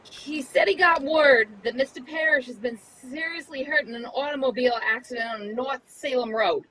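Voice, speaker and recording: Woman playing an excitable young errand boy, bright, high. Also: normal speed, low-quality recording. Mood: excited. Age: young adult. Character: Johnny, eager young male driver and messenger. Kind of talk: live reading of old-time radio mystery script.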